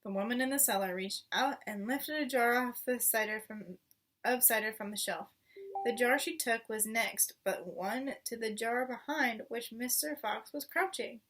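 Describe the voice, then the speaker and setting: A woman narrates a children's story in a high-pitched voice. Her reading is expressive, and she sounds set back a little from the microphone. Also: moderate pace, far from the mic. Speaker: storybook reader.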